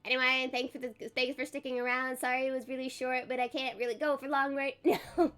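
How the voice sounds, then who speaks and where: A woman hosting a live stream in casual chat, congested and recovering her voice, chatty and quick to laugh. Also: high voice, flat delivery, a bit bright, clean tone, faint music behind. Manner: chatty, laughs often, self-deprecating. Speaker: streamer host.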